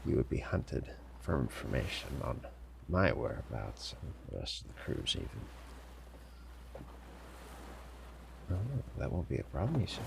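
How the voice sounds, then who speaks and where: A man playing a sly, commanding pirate captain in an audio roleplay, smugly amused and theatrical, with deliberate, formal phrasing, teasing pauses and a gravelly voice. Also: very deep voice, sing-song, a little hiss or hum, exaggerated voice. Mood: grave warning. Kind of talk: scripted immersive audio roleplay addressed to listener.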